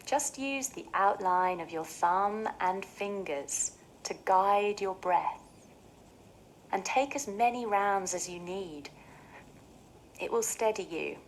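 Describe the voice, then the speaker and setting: A woman leading children through a guided breathing exercise in a soothing, measured way. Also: fairly low pitch, slight background noise, rough recording. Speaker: children's mindfulness instructor.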